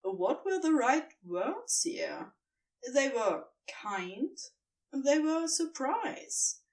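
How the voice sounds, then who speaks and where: A woman narrating fan fiction as an expressive scripted audiobook reading. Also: high voice, very slow, distant mic.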